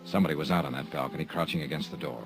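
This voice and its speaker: A hard-boiled radio investigator. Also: male voice, very deep voice, steady tone, very fast, over music. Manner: dry, terse, hard-boiled. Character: tough wisecracking male private insurance investigator. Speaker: insurance investigator and narrator. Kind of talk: 1950s radio drama acting and narration. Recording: old radio transcription with faint hiss.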